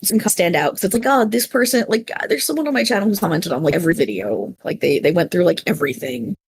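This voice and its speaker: A woman's voice: on the low side, expressive, fast, slightly rough. A woman chatting casually on a panel in an effusive, rambling, self-deprecating way. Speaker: panelist, small content creator and voice actor. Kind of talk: casual unscripted podcast chat.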